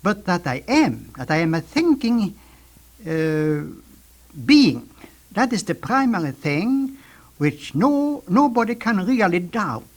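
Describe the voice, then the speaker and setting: High-pitched, animated older man. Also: slow. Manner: emphatic, lecturing. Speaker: guest, scientist. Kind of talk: explanatory radio discussion. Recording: 1950s archival radio recording.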